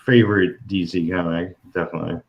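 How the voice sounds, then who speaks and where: Brief: lower-voiced New York man, unhurried, chatty stream host, muffled lo-fi audio. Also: fairly even intonation, gravelly. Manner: rambling, restarts often, laughs between remarks. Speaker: host of a comics livestream. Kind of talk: casual chat.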